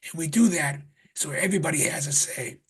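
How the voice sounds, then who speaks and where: A man chairing a town board meeting in a conference room, speaking earnestly with restarts, his voice getting raspy. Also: a little high, brisk. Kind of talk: public meeting remarks.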